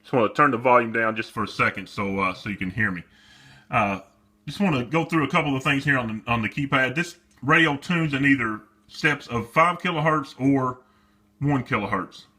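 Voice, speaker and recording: Casual man with a bright voice. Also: medium pitch, moderate pace, husky. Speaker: seller demonstrating a radio. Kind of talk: casual product demonstration.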